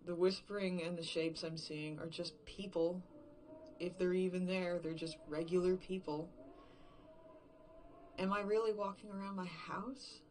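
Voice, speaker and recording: Horror podcast lead: actress playing an unraveling woman alone in an empty world, slightly low register, halting and sweary confessional, light background noise. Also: steady tone, darkish tone, lightly acted. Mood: agitated reasoning, then anxious questioning. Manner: rambling, halting, self-questioning, profane. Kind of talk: serial horror fiction podcast monologue, confessional.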